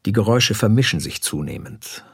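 A man's voice: fairly low pitch, expressive, brisk, gravelly, a bit bright, breathy edge. A man narrating scene directions in a terse, detached, scripted way.

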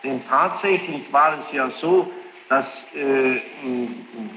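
A man questioning a witness formally and insistently, in long, halting sentences. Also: mid-range voice, pushed and bright. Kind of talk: formal courtroom-style questioning. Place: large reverberant room. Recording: noisy echoing archival tape of hearing.

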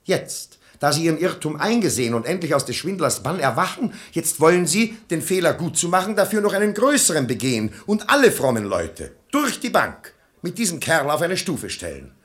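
An actor playing a sensible, admonishing brother-in-law who urges moderation, speaking verse in a radio play. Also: male voice, a little high, very animated, fairly bright tone. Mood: reproving. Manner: reasoning, admonishing, measured.

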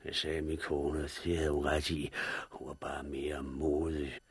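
A man's voice: extremely low pitch, completely flat delivery, vocal fry, slightly rough, exaggerated voice. An actor playing a drunken man who has gone blind, rambling in a slurred, despairing way in a 1960s radio drama. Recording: vintage radio broadcast.

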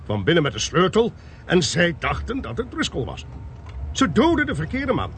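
A man acting a sharp, assertive detective in a radio play, clipped and commanding as he reasons. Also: high-pitched, huge pitch swings, normal speed, slight background noise, exaggerated voice. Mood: confident, explanatory. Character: sharp, assertive male detective reasoning through a murder case. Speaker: actor in a radio drama.

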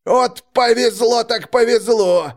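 A man voicing a greedy, jeering young village thug in a loud, coarse manner for an audiobook. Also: sits high, animated, moderate pace, voice pushed up. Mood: greedy, excited.

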